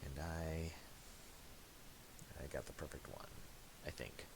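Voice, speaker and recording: A man narrating a casual screen-recorded software tutorial, halting and thinking aloud as he goes. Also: a bass voice, steady tone, creaky. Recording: hissy home recording with clicks.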